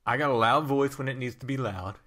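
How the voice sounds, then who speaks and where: A man's voice: mid-range voice, brisk, bright, forceful tone. A man hosting a chat show who speaks emphatically and repeats points. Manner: conversational, emphatic, repeats himself. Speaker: host. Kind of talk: casual interview chat.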